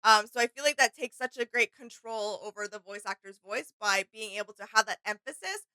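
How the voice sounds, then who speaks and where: A woman's voice: mid-range voice, fairly quick, sharp tone, clean tone, no room sound, poor audio. A woman chatting casually and agreeably as co-host of a fan reaction.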